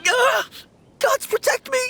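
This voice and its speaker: A man's voice: very high voice, fairly even intonation, slow, vocal fry, very noisy, exaggerated voice. A man playing a timid, polite young apprentice undertaker in an anime game, with a formal way of talking. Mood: terrified.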